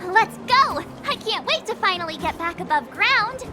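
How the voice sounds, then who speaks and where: Very high, perky girl. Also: expressive, moderate pace, over loud music. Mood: perky, eager. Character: perky, eager teenage girl adventurer. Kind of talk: video game voice acting.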